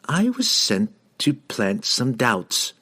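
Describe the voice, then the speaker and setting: A man voicing a sly halfling in a fantasy audiobook, feigning meek obedience in measured, persuasive speech. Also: medium pitch, huge pitch swings, sharp tone, slightly rough, pitched above the performer's own voice, exaggerated voice. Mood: feigned submission.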